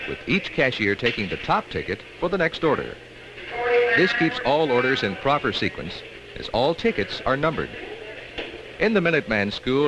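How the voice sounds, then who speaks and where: An American man reads scripted training film narration in an instructional way with measured emphasis, on an old 1960s film soundtrack. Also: medium pitch, noisy background.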